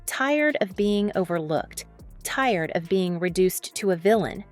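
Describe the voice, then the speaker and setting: A woman's voice: medium pitch, expressive, measured pace. Woman narrating dramatic sports story over music.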